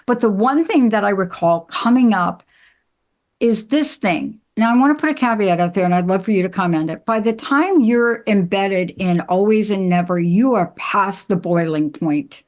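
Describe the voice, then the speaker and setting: A woman's voice: mid-range voice, expressive, normal speed, a little mellow. A woman hosting a conversational relationship-advice podcast, speaking emphatically and straight to her listeners. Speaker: podcast host and coach.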